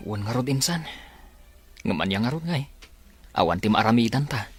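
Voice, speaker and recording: A man's voice: medium pitch, expressive, normal speed, soft background music, close to an everyday voice. A man with an Ilocano accent acting an earnest, resigned farm worker and devoted sweetheart in a radio drama.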